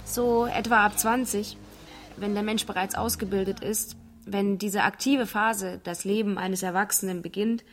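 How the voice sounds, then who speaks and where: A woman's voice: medium pitch, steady tone, moderate pace, faint music behind, natural voice. A woman reading a translated interview matter-of-factly as a radio documentary voice-over.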